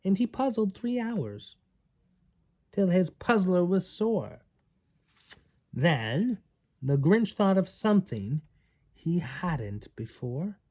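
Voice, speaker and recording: A man's voice: high-pitched, very animated, takes it slowly, pauses often, mellow, highs cut off. A man reading a children's storybook aloud to a small child in a dramatic, playful way.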